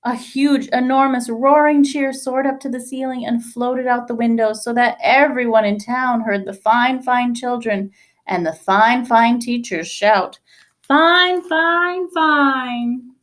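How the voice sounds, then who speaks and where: A woman in her thirties reads a picture book aloud in a small room. Her voice sits a little high, and she tells the story expressively, stressing repeated words with care. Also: fairly even intonation, measured pace. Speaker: teacher reading aloud. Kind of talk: children's storybook read-aloud.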